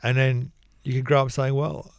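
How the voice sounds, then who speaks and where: A man's voice: mid-range voice, level tone, moderate pace, clean tone, no room sound, clean studio sound. A man hosting a radio chat show, talking in a playful, musing way with his guest. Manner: chatty, playful, musing aloud. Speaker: radio host. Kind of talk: casual chat.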